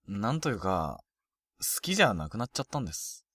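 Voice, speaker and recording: An actor playing a cocky, flippant younger high-school boy who hides some hurt, in a youth romantic-comedy voice drama. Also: very animated, a little fast, fairly bright tone, slightly rough, no echo, studio quality, full character voice. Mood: wistful. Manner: flippant, teasing, casual junior-to-senior speech.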